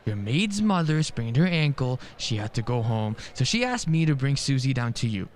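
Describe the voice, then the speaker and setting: An actor playing a mild, well-meaning gentleman in a radio play, with a hesitant, apologetic manner. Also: medium pitch, sing-song, moderate pace, close to an everyday voice.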